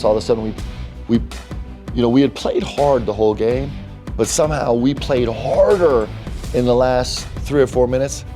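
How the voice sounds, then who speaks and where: A middle-aged man, a basketball coach, reflecting on his team's losses in a halting, thoughtful way with many restarts. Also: mid-range voice, dark tone. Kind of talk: post-game interview.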